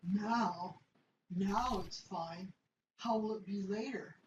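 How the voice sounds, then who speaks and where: Lady voicing a grumpy wary old guy, slow and doubtful, echoey dull recording. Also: medium pitch, darkish tone, a little hiss or hum, lightly acted. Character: wary, dense older male disciple.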